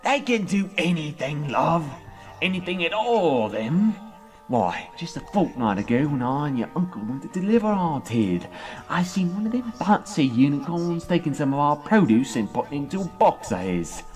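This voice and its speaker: A man's voice: a little high, very animated, moderate pace, darkish tone, faint music behind. A man playing a rustic, opinionated earth pony father in a fan audio story, talking in folksy country phrasing. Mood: awed, storytelling. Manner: folksy rural dialect grammar. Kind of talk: fan audio drama acting.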